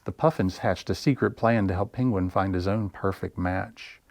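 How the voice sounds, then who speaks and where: A man hosting a library story time, reading picture books to young children in a deliberate, expressive way with pauses for effect. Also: sits low, no echo. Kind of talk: picture-book read-aloud for young children.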